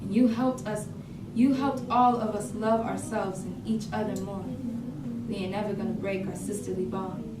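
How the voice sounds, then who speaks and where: A woman's voice: mid-range voice, fairly even intonation, moderate pace, natural voice. A woman in a staged reading playing a proud, self-loving warrior daughter in an incantatory spoken-word style. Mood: devoted, defiant. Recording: distant, echoey, noisy room.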